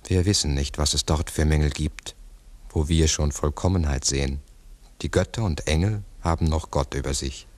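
An actor playing an earnest, philosophizing investigator in a 1960s German radio play, speaking in a deliberate, explanatory way. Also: male voice, very deep voice. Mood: earnest conviction. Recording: 1960s mono radio recording.

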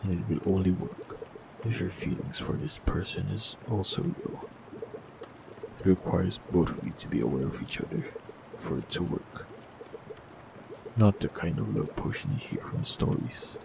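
A man with a very deep, gravelly voice speaks calmly and gently to the listener. His delivery moves freely up and down. A clear hiss sits under the recording. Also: moderate pace. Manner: calm, gentle, reassuring. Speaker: warlock in an audio roleplay. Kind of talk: scripted audio roleplay, speaking to the listener.

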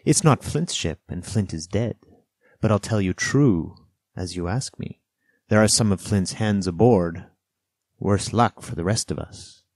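Man doing a polite earnest boy from an adventure book, plain talk, deep voice, close dry sound. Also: unhurried, darkish tone, dry recording. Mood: frank, firm. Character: earnest, quick-witted boy narrator, speaking up politely.

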